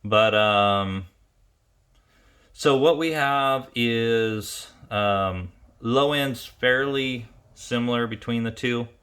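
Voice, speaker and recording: A man giving an informal, unscripted technical review as a tuner and presenter, speaking haltingly and pausing mid-phrase to think. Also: mid-range voice, a bit bright. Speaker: ATV engine tuner and presenter.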